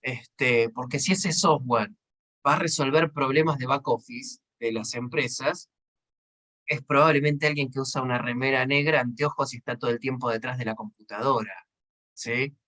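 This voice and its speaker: A man's voice: medium pitch, fairly even intonation, moderate pace, sharp tone. A man with an Argentine accent teaching a seminar informally, explaining conversationally and checking in with short tag questions. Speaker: seminar instructor, consultant.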